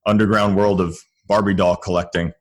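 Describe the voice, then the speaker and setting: Male podcast guest, low register, casual and jokey delivery. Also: flat delivery, fairly quick. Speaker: podcast guest, comedy writer. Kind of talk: casual interview chat.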